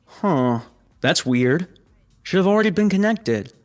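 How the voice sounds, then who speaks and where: A chatty, teasing man aboard a space station. His voice sits a little high, casual and inquisitive, in sci-fi audio drama style over faint music. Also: expressive, unhurried.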